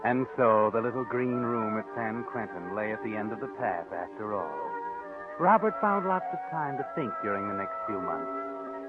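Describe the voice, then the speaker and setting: Guy doing ominous taunting radio mystery narrator, dull old recording, loud music under him. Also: mid-range voice, close to an everyday voice. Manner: ominous, taunting, addresses the listener knowingly.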